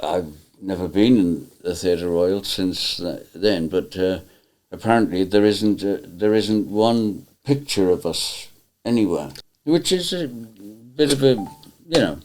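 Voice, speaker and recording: A man's voice: deep voice, a little slow, a little mellow. A man, a former pantomime performer, speaking bluntly and indignantly about how the show ended. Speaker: former panto cast member. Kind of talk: interview.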